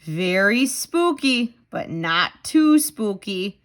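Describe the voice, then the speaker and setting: A woman's voice: mid-range voice, sing-song, slow. A woman voicing a cheerful, easygoing young cat boy from a children's storybook.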